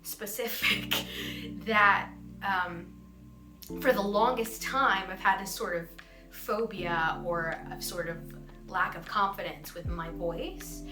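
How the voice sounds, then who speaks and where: A woman's voice: medium pitch, slow. A young adult woman, a voice actor, chatting casually and answering fan questions in a bubbly, giggly, self-deprecating way. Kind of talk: casual vlog Q&A. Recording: roomy echo with music behind.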